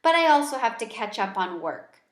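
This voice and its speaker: A busy, good-humored storybook schoolteacher, read by a woman. Her voice is fairly high, conversational and practical, in an echoey room. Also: sing-song, normal speed. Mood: practical, amused.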